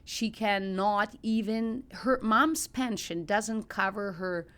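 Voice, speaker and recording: A woman's voice: medium pitch, slow, fairly bright tone, smooth. A middle-aged woman from Ukraine with a Ukrainian accent, telling personal stories conversationally as a podcast guest, earnest and emphatic.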